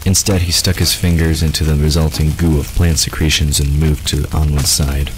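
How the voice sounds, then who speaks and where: An extremely low male voice narrates a scripted story. He reads as the narrator of an audio drama, with faint ambience and noise behind him. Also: little pitch movement, normal speed.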